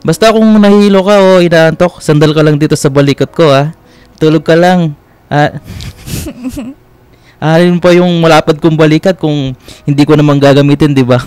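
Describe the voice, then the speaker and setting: A man's voice: on the high side, fairly even intonation, moderate pace, long pauses, a little mellow, clear, resonant tone, no room sound, close to an everyday voice. An actor with a Filipino accent playing a flirty, joking, caring man of twenty-two in a radio romance drama. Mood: caring, playful. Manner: playful, boastful, sweet-talking. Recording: close dry studio, music behind.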